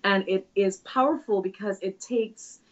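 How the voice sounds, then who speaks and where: A woman's voice: mid-range voice, measured pace. Earnest woman from Trinidad, dull lo-fi sound. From Trinidad and Tobago. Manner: earnest, reflective, with frequent hesitations. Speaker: guest. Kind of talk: conversational interview answers.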